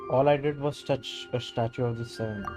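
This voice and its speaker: A man's voice: medium pitch, steady tone, moderate pace, over music, close to an everyday voice. An actor voicing an earnest young male traveler hero in a video game, giving plain, sincere replies.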